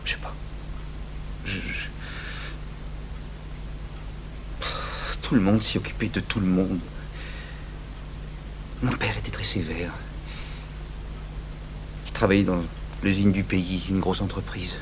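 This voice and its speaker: An actor playing an anguished, bitter young clerk in a French-language radio drama, confessing haltingly with stammered restarts. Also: male voice, low-pitched, steady tone. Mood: resentful. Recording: noisy humming old radio tape.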